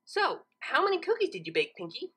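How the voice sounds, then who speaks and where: A woman's voice: sits high, wildly swooping pitch, brisk, a bit bright, voice pushed up, exaggerated voice. A woman voicing an earnest, studious young female cartoon pony who is exacting, questioning and easily flustered. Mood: pleased.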